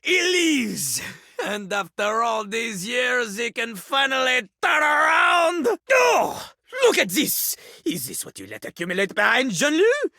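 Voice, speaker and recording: Melodramatic French rapper toilet, game character, high voice, wildly animated pitch, French accent, pompous, theatrical, self-important. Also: male voice, unhurried, gravelly, no echo, studio quality, heavily acted. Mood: indignant, dramatic. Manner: pompous, melodramatic, sweeping delivery. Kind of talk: theatrical video game voice acting, third-person speech.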